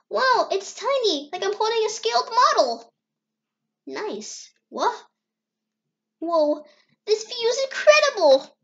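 A woman's voice: very high voice, fairly bright tone, dull audio, full character voice. A woman voicing an eager, playful little boy fox in an amateur comic dub.

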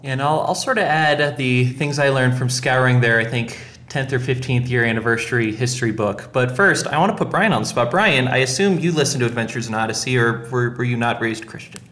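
A man's voice: medium pitch, fairly quick, hardly any pauses, a bit bright. A man hosting a casual podcast conversation in a joking, self-aware way. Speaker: podcast host. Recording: roomy, echoing sound.

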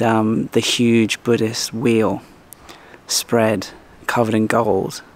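A man in his thirties telling stories casually to camera as a travel vlogger, with an animated way of retelling things. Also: lowish voice. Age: 30s. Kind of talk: casual vlog storytelling to camera. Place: outdoors by a seaside sculpture park. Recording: clear outdoor background noise.

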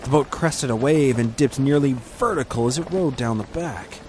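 A man's voice: mid-range voice, normal speed, a little mellow, slight background noise. A man narrating a scripted adventure story in an intense, dramatic storytelling manner.

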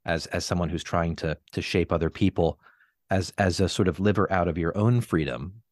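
A man's voice: low-pitched, moderate pace, dry recording, clean studio sound. A man hosting an interview, posing long, winding philosophical questions with hesitant repeats. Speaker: podcast host.

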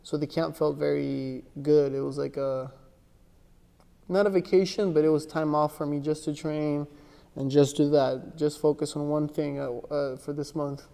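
Young male athlete, relaxed and halting, flat gravelly delivery, roomy gym reverb. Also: a little high. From born in Miami, raised in Ecuador and Texas. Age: young adult. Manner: soft-spoken, relaxed, with frequent "like" and restarts. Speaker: interview guest, jiu-jitsu athlete. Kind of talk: casual interview answers. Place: gym with large windows, indoors. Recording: long reverb from a large room.

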